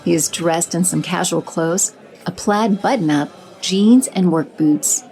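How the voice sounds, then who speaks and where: Mellow-voiced woman narrating an audio drama. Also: on the low side, unhurried, rough recording.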